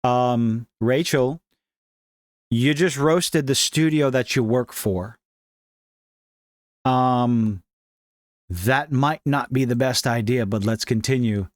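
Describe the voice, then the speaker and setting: A man's voice: mid-range voice, takes it slowly, long pauses, dry recording. Man giving wry, casual commentary, dry close sound. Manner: opinionated, wry, informal. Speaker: commentary show host. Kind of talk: casual commentary, reading and reacting to online posts.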